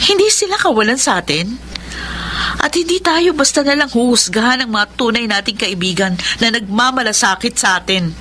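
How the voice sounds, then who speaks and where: A woman's voice: fairly high pitch, lively intonation, moderate pace, sharp tone, slight background noise. An actress playing a steadfast young woman in love in a Filipino radio drama, earnest and resolute.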